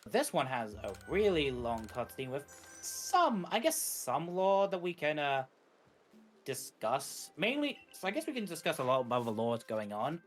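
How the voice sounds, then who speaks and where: A man's voice: a little high, big pitch swings, moderate pace, soft background music. Wry man co-commentating a speedrun. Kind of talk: casual live game commentary.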